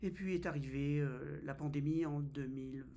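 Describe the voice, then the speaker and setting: A man in his fifties from France talks to camera in a relaxed vlog. His voice sits a little high, and he often pauses, fills gaps and restarts his sentences. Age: 50s. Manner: hesitant, many fillers and restarts. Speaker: travel vlogger. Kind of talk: casual first-person vlog.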